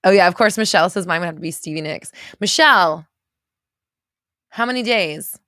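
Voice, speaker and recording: A woman's voice: fairly low pitch, expressive, a bit bright, no echo. Chatty woman with a dry, close sound. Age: 30s. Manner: chatty, laughs often, reads comments aloud with quick reactions. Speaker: livestream host reading viewer comments. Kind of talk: casual livestream chat.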